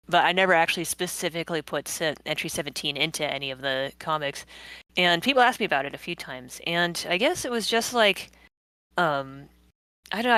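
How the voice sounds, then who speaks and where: A woman's voice: fairly low pitch, fairly bright tone, no echo. A woman giving casual behind-the-scenes commentary as a comic creator, rambling and thinking aloud as she goes. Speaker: webcomic creator guest.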